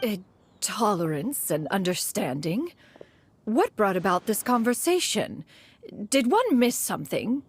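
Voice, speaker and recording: A woman's voice: medium pitch, big pitch swings, measured pace, a little hiss or hum. A woman voicing an ancient, aloof female crane spirit who speaks formally and calls herself "one", in a video game. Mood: poised. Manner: archaic formal speech, refers to herself as "one".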